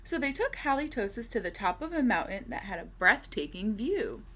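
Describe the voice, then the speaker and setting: A woman reading a children's storybook aloud expressively, with deliberate dramatic pauses and emphasis. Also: on the high side, big pitch swings, sharp tone.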